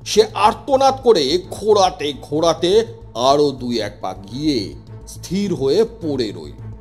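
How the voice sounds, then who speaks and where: A man's voice: a little high, darkish tone. A man narrating a scripted horror story in the first person, with a suspenseful, dramatic delivery. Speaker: narrator, telling the story as a police inspector. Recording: long reverb with music underneath. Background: music.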